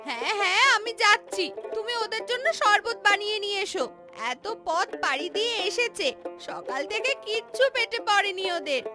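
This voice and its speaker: A sharp-tongued village mother-in-law from a cartoon fairy tale. Her voice is extremely high, and she nags and fusses in a bossy way. Music plays clearly underneath. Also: moderate pace. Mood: fussy, doting. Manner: scolding, bossy, fussy.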